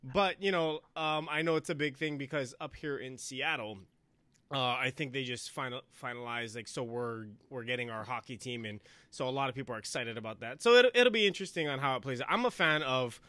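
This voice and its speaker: Bright-voiced chatty male podcast host. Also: medium pitch, a little fast, no echo. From Hawaii. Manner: friendly, laughing, chatty. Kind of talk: casual interview chat. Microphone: headset.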